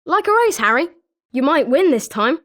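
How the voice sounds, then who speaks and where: A woman's voice: sits high, big pitch swings, normal speed. A woman with a British accent voicing an eager, sporting schoolboy wizard with chirpy, emphatic delivery in a video game. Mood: eager, challenging. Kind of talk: scripted video game character lines.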